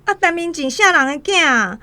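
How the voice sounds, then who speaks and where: A woman's voice: extremely high pitch, lively intonation, normal speed, bright, forceful tone, full character voice. An actress playing a worried, devout mother and wife in a radio drama, anxious and questioning, with a Taiwanese Hokkien accent.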